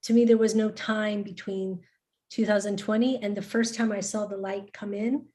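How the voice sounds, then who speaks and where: A woman hosting a spiritual webinar, chatting in an animated way and often restarting her sentences. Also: medium pitch, normal speed. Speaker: webinar host. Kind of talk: casual webinar chat.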